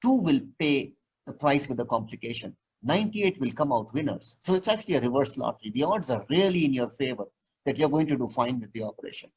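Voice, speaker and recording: Husky-voiced man explaining surgery calmly. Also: medium pitch, fast talker, poor audio. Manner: patient, explains with plain comparisons. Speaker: surgeon guest. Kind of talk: explanatory interview talk.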